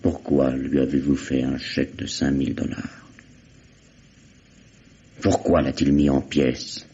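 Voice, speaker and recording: A man's voice: very deep voice, flat delivery, slow, mellow, exaggerated voice. An actor playing a wry, insistent investigator in a vintage radio mystery, sardonic and falsely fatherly as he questions a suspect. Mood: sardonic, pressing. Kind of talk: 1950s French radio mystery acting. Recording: dull lo-fi old radio recording.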